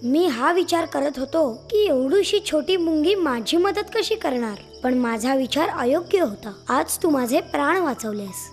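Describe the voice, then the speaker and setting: A woman voicing a kind, humble male dove in a children's animated story, speaking in a gentle, earnest way. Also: high voice, measured pace, few pauses, soft background music. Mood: humbled, grateful.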